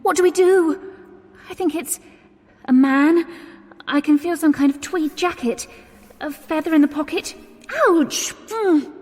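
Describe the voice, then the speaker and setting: An actress playing a nervous, exasperated young Englishwoman in a radio drama, with sharp, breathless reactions. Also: high voice, unhurried, pauses often. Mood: alarmed. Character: nervous, exasperated young woman stuck in dark archives. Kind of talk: 1920s-set radio drama acting. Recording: long echoing reverb with music underneath.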